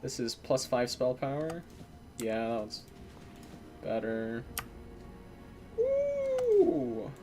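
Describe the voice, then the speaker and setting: A man's voice: mid-range voice, drawn-out words, gravelly, long pauses, music in the background. A man streaming a game, chatting casually with viewers and swearing now and then. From Eastern European descent. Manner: relaxed, swearing, chatting to viewers while playing. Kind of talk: casual gameplay commentary.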